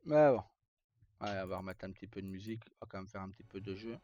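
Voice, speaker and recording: A man's voice: deep voice, quick pace, mellow. A middle-aged man talks casually on a livestream in an informal, rambling and hesitant way. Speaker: live streamer. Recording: dull, lo-fi sound.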